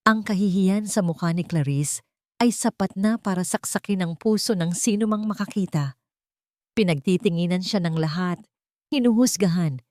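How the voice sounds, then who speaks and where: A woman's voice: on the low side, mellow, no room sound, studio quality. A woman with a Filipino accent narrating a dramatic scripted story. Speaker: audiobook narrator.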